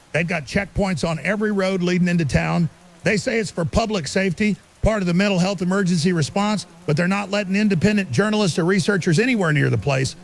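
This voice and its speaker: Creaky, high-voiced conspiracy researcher. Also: male voice. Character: earnest, insistent male conspiracy researcher guest. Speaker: interview guest. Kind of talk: talk show interview in audio drama.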